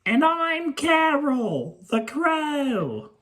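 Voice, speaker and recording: A perky lady crow, voiced by a man, pitched way up high. She talks in a sing-song way, as a puppet in a show for little children. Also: big pitch swings, very slow, fairly bright tone, heavily acted. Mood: cheerful introduction.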